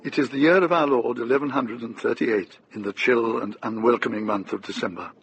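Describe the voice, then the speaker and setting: An actor narrating as a grave, measured storyteller in a scripted radio drama. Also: male voice, mid-range voice, gravelly, over loud music, close to an everyday voice, low-quality recording.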